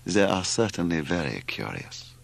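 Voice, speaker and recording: A man voicing a shy, learned bearded naturalist in an audiobook, speaking slowly and meticulously with hesitant ums and pauses. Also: low voice, very animated, gravelly, heavily acted. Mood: awkward, courteous.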